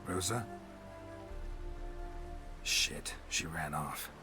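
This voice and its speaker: An actor playing a gruff, laconic monster-hunting swordsman with a deadpan, weary manner in a video game. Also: a bass voice, extremely slow, over music, exaggerated voice. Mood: stern, terse. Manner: terse, deadpan. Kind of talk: video game character acting.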